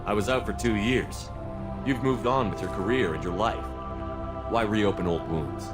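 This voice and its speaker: Man playing weary space commander in a game, low measured voice, firm, loud soundtrack under it. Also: natural voice. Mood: subdued. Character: steadfast, weary male military space commander. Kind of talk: video game voice acting. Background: loud music.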